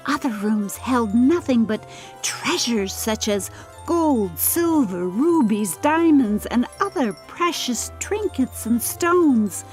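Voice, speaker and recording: Female audiobook narrator for fairy tales: mid-pitched, very measured and slow, expressive, over background music. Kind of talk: scripted storybook narration.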